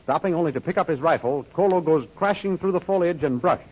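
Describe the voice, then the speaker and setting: A man's voice: a little high, fast talker. A man narrating an old-time radio adventure serial in a dramatic, scripted style. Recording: dull, faintly noisy old radio recording.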